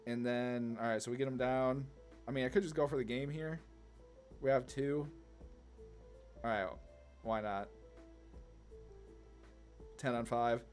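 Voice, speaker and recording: A man's voice: mid-range voice, unhurried, long pauses, faint music behind. A man giving casual, slangy card game commentary, thinking aloud hesitantly as he plays. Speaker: gaming channel host.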